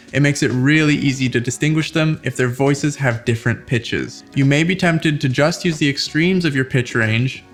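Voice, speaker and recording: A man hosting a scripted how-to video, speaking in an instructional way with playful, demonstrative emphasis. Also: medium pitch. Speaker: host giving tips for tabletop RPG character voices.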